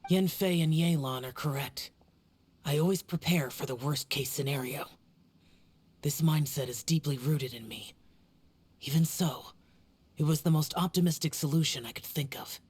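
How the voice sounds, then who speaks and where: A woman voicing a solemn young male warrior guardian in a video game, speaking in a grave, measured, weary way. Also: low-pitched, a little mellow, breathy edge. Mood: reflective.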